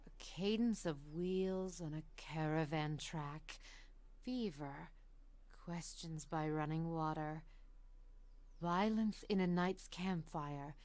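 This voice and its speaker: A woman playing an ancient, gentle seer in a fantasy video game, speaking softly and solemnly in halting phrases. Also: low-pitched. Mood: haunted, hushed. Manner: soft, slow, halting, solemn delivery.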